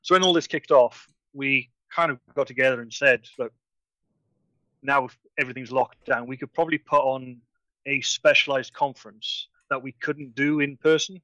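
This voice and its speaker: Bright-voiced man chatting. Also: mid-range voice, steady tone, normal speed, no room sound, rough recording. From Wales. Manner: chatty, enthusiastic, trips over words. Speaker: conference organiser guest. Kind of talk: casual video-call chat.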